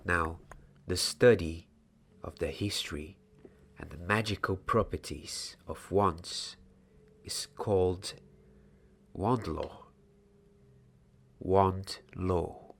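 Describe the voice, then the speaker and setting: A man in his twenties playing a patient, mysterious young wandmaker apprentice in a soft-spoken, deliberate ASMR roleplay. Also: deep voice, steady tone, vocal fry, heavily acted. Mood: hushed, instructive. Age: 20s. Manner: slow, hushed, deliberate, sometimes slipping into whisper.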